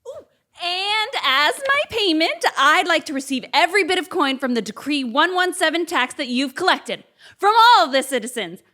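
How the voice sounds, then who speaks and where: A woman playing an excitable, naive comic sidekick in a stage play, exaggerated and gushing. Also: sits high, moderate pace, exaggerated voice. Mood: gleeful. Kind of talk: live absurdist comedy stage acting.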